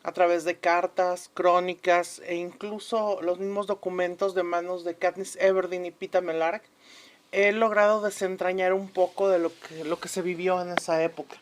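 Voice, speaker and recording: A woman's voice: on the low side, flat delivery, normal speed, a little hiss or hum, lightly acted. A woman playing a learned, earnest historian who explains things formally as a guest in a radio drama. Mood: assured, earnest. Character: learned, earnest female historian, a convinced researcher. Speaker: expert guest on a history programme.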